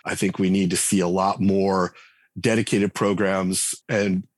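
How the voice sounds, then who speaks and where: Guest: deep-voiced, slightly husky man in his 40s; chatty and meandering, dry close mic. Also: level tone, a little slow, no room sound. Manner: rambling, many restarts and fillers. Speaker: game writer and designer guest. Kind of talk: conversational podcast interview.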